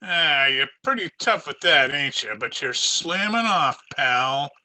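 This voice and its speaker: A man's voice: fairly high pitch, lively intonation, unhurried, pushed and bright, full character voice. An actor playing a sadistic, taunting gunman in an old-time radio crime drama, with drawled tough-guy slang. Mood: menacing, mocking. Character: sadistic male gunman, taunting drunken killer.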